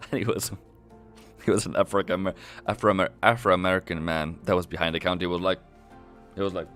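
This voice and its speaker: A man's voice: low-pitched, little pitch movement, brisk, long pauses, a bit bright, faint music behind. A man from Norway chatting casually on a live trading stream. He rambles, laughs often and restarts his sentences a lot. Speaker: forex trader on a live stream.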